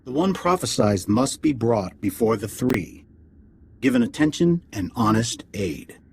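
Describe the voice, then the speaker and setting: Cryptic alien councillor for a game, gravelly, formal, solemn delivery. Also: male voice, medium pitch, big pitch swings, unhurried, darkish tone, slight background noise, heavily acted. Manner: ceremonial, formal pronouncements. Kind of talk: dramatic video game acting.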